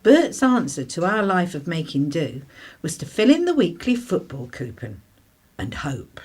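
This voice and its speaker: Actress doing a harried 1950s english mum, dry and sarcastic, voice swoops about, radio play. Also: female voice, on the low side, very animated, a little slow, a little mellow, husky, heavily acted. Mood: reflective. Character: sharp, harried 1950s working-class mother, dryly sarcastic. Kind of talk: British radio play acting and narration.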